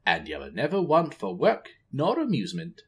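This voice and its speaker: A man's voice: mid-range voice, huge pitch swings, moderate pace, husky, voice pushed up. A man voicing a wise troll father who gives his son advice. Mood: advising. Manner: instructive, giving advice.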